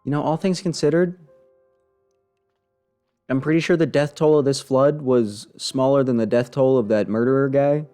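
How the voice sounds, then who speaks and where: A man's voice: medium pitch, fairly even intonation, normal speed, pauses often, dark tone. A man giving casual, slangy live commentary as a game streamer, wry and rambling. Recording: voice over faint game music.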